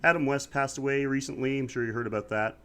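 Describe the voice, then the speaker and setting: A man's voice: mid-range voice, quick pace, clear, resonant tone. Stuffy-nosed guy co-hosting a podcast, kinda scratchy throat, chill and chatty. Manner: relaxed, talkative, reviews with prepared facts. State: congested, slightly scratchy throat. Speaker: podcast co-host. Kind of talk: casual podcast chat.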